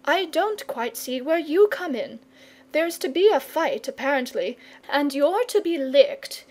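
A forthright young storybook boy, put on by a woman, high-voiced and impatient. He speaks bluntly and insistently, determined to be heard. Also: expressive, measured pace, darkish tone. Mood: indignant. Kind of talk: storybook character reading.

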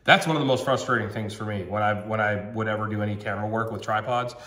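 A man's voice: lowish voice, fairly even intonation, fast, sharp tone, echoey room. A man in his thirties with a slightly nasal voice from a cold, reviewing a product in a chatty, upbeat way at a table at home. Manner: chatty, upbeat, thinks aloud with ums.

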